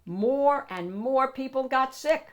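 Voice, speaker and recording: A woman narrating a children's picture book in an expressive, emphatic storytelling manner with deliberate phrasing. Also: high-pitched. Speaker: storybook reader, read-aloud host.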